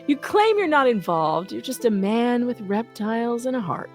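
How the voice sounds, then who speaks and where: A woman's voice: on the high side, animated, moderate pace, soft background music. A woman reading out scripted, sarcastic commentary in a mocking, theatrical manner. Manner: often laughs while reading. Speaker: host reading an open letter.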